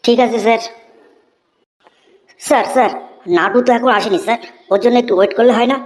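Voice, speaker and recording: A woman's voice: fairly high pitch, steady tone, brisk, darkish tone, natural voice, poor audio. A woman voicing a group of cheeky, wisecracking cartoon schoolchildren. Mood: eager. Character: cheeky cartoon schoolkids, boys and girls, pranksters. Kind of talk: Bengali cartoon comedy voice acting.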